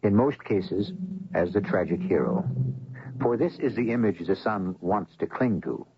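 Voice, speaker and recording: Very deep, gravelly male radio drama host. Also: lively intonation, a little hiss or hum. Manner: portentous, deliberate phrasing. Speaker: host and narrator. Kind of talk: scripted dramatic radio narration. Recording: dull old radio recording, music behind. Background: music.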